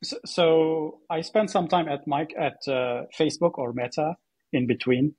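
A man from Syria with a very husky, breathy voice talks as an interview guest. He tells his story conversationally and haltingly, repeating words as he goes. The sound is close and dry. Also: a little high, fairly even intonation, a little mellow, no echo. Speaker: podcast guest, AI startup co-founder.

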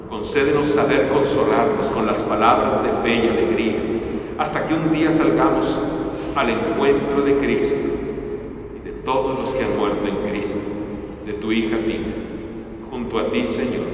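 A man's voice: mid-range voice, slight background noise. Man priest, solemn liturgical prayer, long church reverb, distant mic. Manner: solemn measured prayer, turning lively and joking at the close. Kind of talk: funeral mass liturgy. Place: large church interior. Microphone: distant phone camera in the pews. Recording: echoey church from far off.

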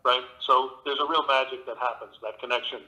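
Older actor guy on a call, bright voice, earnest, keeps restarting his sentences. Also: mid-range voice, steady tone, a little fast, rough recording. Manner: earnest, thoughtful, with frequent restarts. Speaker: guest actor and narrator. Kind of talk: reflective interview talk.

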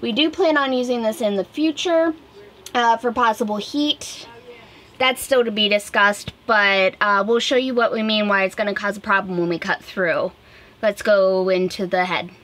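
A woman's voice: mid-range voice, normal speed, fairly bright tone. A woman in her thirties casually explaining a DIY project as a vlog host. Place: small wood-panelled boat cabin indoors.

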